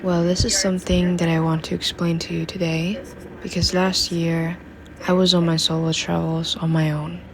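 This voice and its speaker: A young woman from Germany narrating a reflective voice-over about her travels in a calm, even way. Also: fairly low pitch, normal speed, slight background noise, poor audio. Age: young adult. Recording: voice-over with loud background music.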